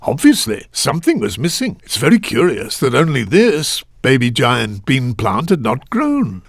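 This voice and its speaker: An actor playing a gentle, curious storybook narrator for a children's game, speaking in a measured, articulate, musing way. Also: male voice, medium pitch, huge pitch swings, gravelly, no room sound, studio quality, heavily acted. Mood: gently curious.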